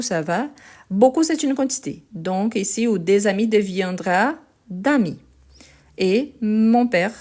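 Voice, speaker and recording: A woman French teacher slowly going through a written exercise, correcting mistakes and checking in with her students. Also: mid-range voice, darkish tone. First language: Portuguese. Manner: patient, repeats words slowly, checks in with "ok?".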